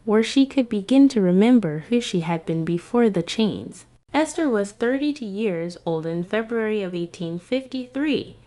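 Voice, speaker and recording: A woman giving scripted history narration in a grave, measured storytelling manner. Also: medium pitch, darkish tone.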